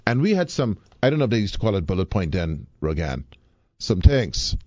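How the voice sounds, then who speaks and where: A man's voice: on the low side, big pitch swings, a little fast, darkish tone, dry recording, highs cut off. A man from the Bahamas hosting a live radio talk show, emphatic and playful.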